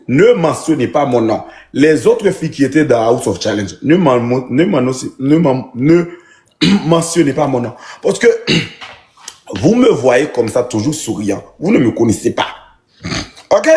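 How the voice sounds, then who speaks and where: A man addressing the camera directly in a stern, deliberate way, repeating himself. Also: on the high side, a little mellow. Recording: echoey room.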